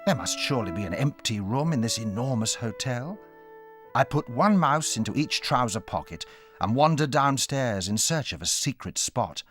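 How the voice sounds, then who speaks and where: An expressive storyteller recalling boyhood adventures in a dramatized audiobook. His mid-pitched voice moves in lively contours, with faint music behind. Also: moderate pace. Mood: measured storytelling. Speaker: narrator.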